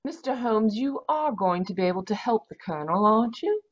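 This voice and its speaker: Doctor's wife, played by a woman in radio mystery: concerned, tender, very lively pitch, muffled call audio. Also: fairly quick. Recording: dull, distant online-call audio.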